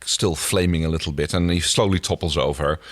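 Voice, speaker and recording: A man co-hosting a podcast, casually retelling a TV episode in a halting way as he searches for words. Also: very deep voice, no echo. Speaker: podcast co-host. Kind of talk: casual episode recap chat.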